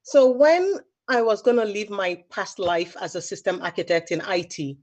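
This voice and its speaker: Nigerian woman telling a lively story. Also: medium pitch, normal speed. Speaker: photographer, panel guest. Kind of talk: casual panel talk.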